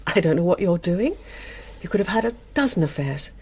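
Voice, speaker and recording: An actress playing a suspicious, wounded middle-aged wife with a sarcastic, pointed manner in a naturalistic radio drama. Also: female voice, lowish voice, lively intonation, a little fast, a little mellow, husky. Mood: hurt, accusing.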